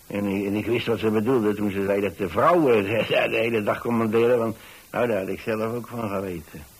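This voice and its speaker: A man's voice: on the low side, steady tone, brisk, husky, rough recording. An actor playing a kindly retired landlord, an older man telling a story in a hesitant, folksy, conversational way for radio drama. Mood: chatty, fond. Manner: hesitant, folksy, chatty, with small asides. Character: kindly retired landlord telling about his young lodger.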